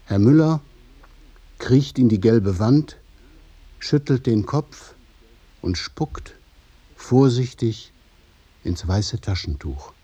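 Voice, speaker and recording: A man narrating a scripted radio drama in the present tense, with deliberate, measured phrasing. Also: medium pitch, lively intonation, mellow, breathy edge.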